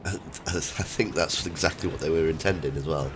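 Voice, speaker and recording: A man hosting a gaming livestream, chatting casually in a halting way and trailing off as he plays. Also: rumbling low, noisy background. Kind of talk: casual chat over gameplay. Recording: loud game gunfire behind the voice. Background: video game gunfire and combat sounds.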